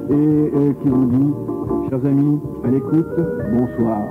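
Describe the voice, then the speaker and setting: French-accented male radio host over loud music. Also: mid-range voice, steady tone, measured pace. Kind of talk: casual radio sign-off chat. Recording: muffled radio aircheck under loud guitar music.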